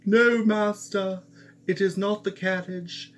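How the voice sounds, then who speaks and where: A man's voice: high-pitched, fairly even intonation, slow, a little hiss or hum, voice pushed up. A man voicing a devoted servant who speaks in a raised, pleading, urgent voice. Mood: anguished. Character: loyal male servant, anguished and devoted.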